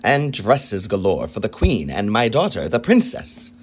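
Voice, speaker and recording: A commanding, greedy king from a storybook, snapping orders at full volume, put on by a man reading the tale aloud. He is pompous and impatient, with a low voice that swings widely as he boasts. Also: huge pitch swings, a little slow, a little hiss or hum. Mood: demanding.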